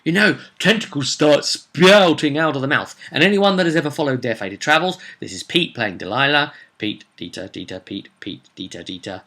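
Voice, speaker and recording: A man's voice: fairly high pitch, expressive, moderate pace, few pauses, pushed and bright. A man telling the story of a tabletop roleplay session as game master, in a rambling, conversational way with repeated phrases.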